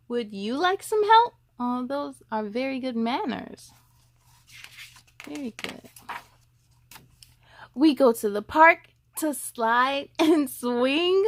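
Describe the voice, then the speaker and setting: A woman with a distinctly high voice hosts a story time for children. She moves between reading aloud and chatty asides. Her delivery is animated and sing-song, aimed straight at young viewers.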